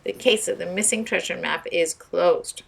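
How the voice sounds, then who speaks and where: A woman's voice: on the low side, moderate pace, vocal fry, breathy edge, recorded from a distance, heavily acted. A woman voicing a boastful, triumphant boy detective in a children's storybook, crowing and dismissive.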